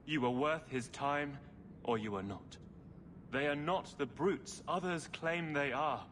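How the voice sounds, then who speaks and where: A man's voice: a little high, measured pace, fairly bright tone, a little hiss or hum. Idealistic young nobleman, echoing space. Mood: earnest. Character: indignant, idealistic young nobleman, a runaway son. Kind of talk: video game dialogue.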